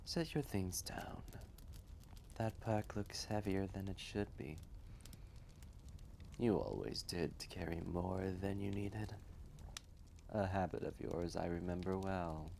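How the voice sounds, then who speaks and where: Man voicing a slow, gravelly ancient dragon. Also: a little hiss or hum, exaggerated voice. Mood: fond, wistful. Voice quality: low, gravelly, often breathy and whispery. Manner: slow, measured, hushed, kindly. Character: ancient, gentle, wise male dragon in a mountain cave. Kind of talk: roleplay storytelling audio.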